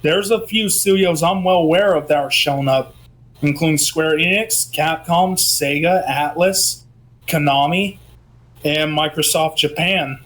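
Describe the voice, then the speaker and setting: A man with a fairly high voice chats about gaming news at a slow, unhurried pace. His voice is worn from talking all day, and he pauses often with ums. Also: fairly bright tone. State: losing his voice. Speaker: co-host, gaming news presenter. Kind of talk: casual chat.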